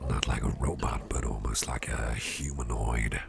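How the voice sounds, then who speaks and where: A man playing a friendly, affectionate office boss in an ASMR roleplay, murmuring in a breathy half-whisper and rambling gently as he repeats himself. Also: very deep voice, fairly even intonation, moderate pace, background noise, heavily acted. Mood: confiding, puzzled. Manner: hesitant, repetitive, gently rambling. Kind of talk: ASMR roleplay, intimate personal attention. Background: music.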